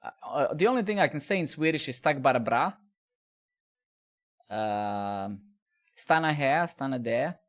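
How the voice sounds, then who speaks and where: A middle-aged man from Serbia talks through his code on a live stream. His comes through in loose, rambling, profane chat aimed at his viewers, in a voice sitting at an ordinary middle pitch. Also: lively intonation, measured pace, pauses often. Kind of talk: casual live-coding chat.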